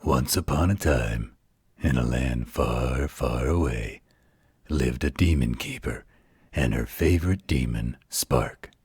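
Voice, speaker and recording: A man voicing a gentle, patient werewolf in a slow, soothing bedtime roleplay, with a husky growl. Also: no room sound, studio quality, exaggerated voice. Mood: calm storytelling. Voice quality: very deep gravelly husky growl. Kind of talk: intimate bedtime roleplay audio.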